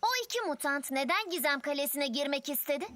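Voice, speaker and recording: Very high, bright young girl guardian. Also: fast talker. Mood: curious. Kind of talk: animated cartoon dub.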